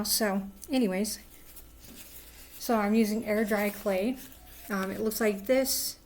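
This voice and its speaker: Hoarse woman chatting casually while crafting. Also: medium pitch. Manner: rambling, drifts into soft murmurs. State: hoarse, lost voice. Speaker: craft livestream host. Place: at home indoors.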